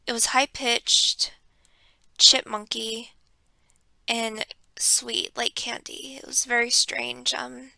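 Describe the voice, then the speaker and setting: A young woman quietly telling a personal story in a home vlog, keeping her voice hushed, with frequent ums and pauses, close to a desk microphone. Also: fairly high pitch, level tone, creaky, no echo. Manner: hushed and reflective, with many ums. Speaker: vlogger.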